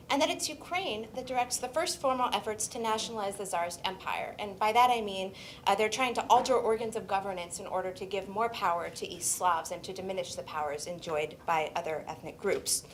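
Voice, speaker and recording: A woman historian presenting her book at an academic seminar, speaking in a measured, scholarly way with occasional restarts. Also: medium pitch, a little hiss or hum. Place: seminar room.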